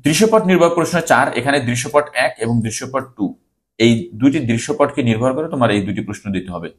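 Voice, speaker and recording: Male teacher, Bangladeshi accent, mid-pitched voice, instructive, reads exam questions aloud, repeats key phrases. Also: lively intonation, quick pace. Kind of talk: exam-preparation lesson narration, reading questions aloud and instructing students.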